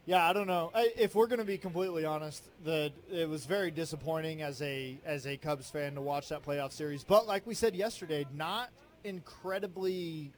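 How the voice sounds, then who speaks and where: A man co-hosting a sports radio show from a bar, talking in a loud, preacher-like voice with joking banter. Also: fairly high pitch, normal speed, few pauses. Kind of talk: casual sports talk. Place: sports bar remote broadcast. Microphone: headphones and studio mic.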